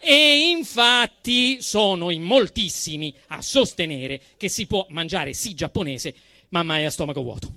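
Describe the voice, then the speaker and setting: A man with a Roman accent performs a satirical stage monologue in a very high-pitched, forceful voice. His delivery swings widely, emphatic and indignant with a mock-solemn edge. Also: expressive, unhurried, no room sound. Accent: Roman Italian. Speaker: actor performing a comic monologue. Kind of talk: theatrical satirical monologue, storytelling.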